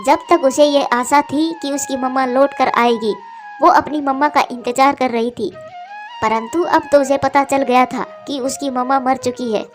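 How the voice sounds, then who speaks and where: A woman's voice: high voice, steady tone, normal speed, soft background music. A woman giving scripted narration for a children's cartoon story.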